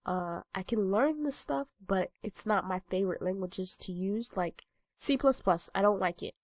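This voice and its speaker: A woman's voice: mid-range voice, moderate pace, no room sound. Young woman, stuffy nose, lots of um and uh, dry close mic. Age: 20s. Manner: rambling, many ums and uhs. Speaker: vlogger. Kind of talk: casual talking-head vlog.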